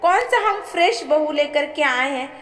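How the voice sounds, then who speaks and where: A woman's voice: sits high, lively intonation, a little slow, fairly bright tone, voice pushed up. A woman storyteller voicing a brusque, miserly middle-aged mother-in-law, scolding and impatient. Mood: scolding, dismissive. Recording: echoey with long reverb.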